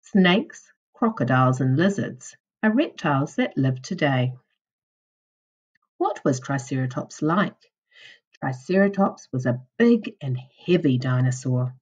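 Lady teacher reading a kids fact book out loud, slow and really expressive, bit husky. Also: extremely low pitch, highs cut off. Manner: deliberate, instructional, questioning delivery for young children. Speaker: primary school teacher. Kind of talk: reading a children's non-fiction book aloud.

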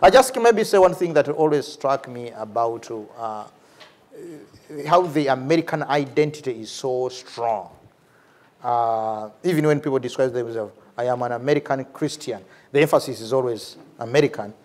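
A middle-aged man with a Ugandan accent, speaking off the cuff as a lecturer, animated and repeating words for emphasis. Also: medium pitch, normal speed. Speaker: lecturer, theology professor and priest. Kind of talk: lecture with off-the-cuff answer to a question. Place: large university lecture hall, at a lectern.

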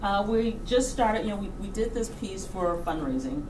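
A middle-aged woman telling anecdotes casually on stage into a handheld microphone, animated and informal, acting out reactions. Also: mid-range voice, moderate pace. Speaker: documentary filmmaker, guest speaker. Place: auditorium stage before an audience. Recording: roomy, distant hall sound with background noise.

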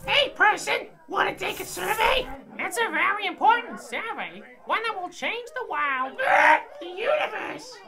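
Extremely high creaky squawk, swooping, eager alien pollster, cartoonish radio play. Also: big pitch swings, unhurried, few pauses, crowd noise, exaggerated voice. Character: shrill, pushy little alien survey-taker.

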